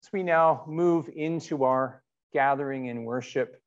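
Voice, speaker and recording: Slightly muffled middle-aged pastor. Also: male voice, mid-range voice, a little slow. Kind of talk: informal church announcements and worship leading.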